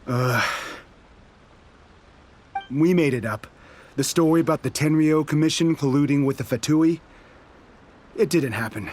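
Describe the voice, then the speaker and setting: A man's voice: on the high side, measured pace, pauses often, a little mellow, slight background noise, close to an everyday voice. A man voicing a proud, distrustful, hot-headed resistance soldier in an anime game, with an emphatic manner. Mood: confessing. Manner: boastful, challenging, emphatic.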